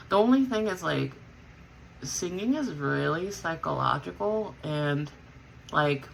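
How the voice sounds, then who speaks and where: A woman's voice: deep voice, vocal fry, fairly bright tone, a little hiss or hum, low-quality recording. A woman who sings in a band, chatting casually as she gets ready, rambling with lots of fillers and self-corrections. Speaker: vlogger and band singer. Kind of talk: casual chat while getting ready.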